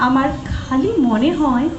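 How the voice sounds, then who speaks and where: Woman acting an unhurried high-voiced teacher, echoey. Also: slight background noise. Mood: wistful, fond. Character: older female college teacher, fond, sentimental, nearing retirement. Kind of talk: audio drama acting. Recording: dull echoey recording under clear music.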